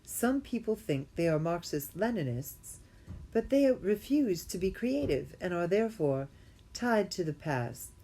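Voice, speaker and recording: A woman with a slightly low voice reads academic prose aloud. She sounds like an amateur reader working through formal writing. Her delivery is halting, and she tends to repeat phrases to put them right. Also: sing-song, mellow, poor audio. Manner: halting, often repeats phrases to correct herself.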